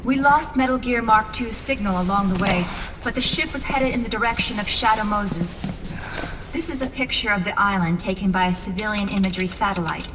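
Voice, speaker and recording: Brief: actress as a crisp young radio officer, a bit high, heard from off-mic over steady noise. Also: female voice, a little fast, distant mic, lightly acted. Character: young female communications officer, brisk and informative. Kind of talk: video game cutscene acting.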